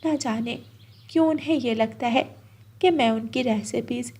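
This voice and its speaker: A sincere, long-suffering young mother bird from a cartoon, played by a woman. The voice is high and gentle, with an earnest, pleading delivery. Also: a little slow, slightly rough. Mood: downcast, gentle.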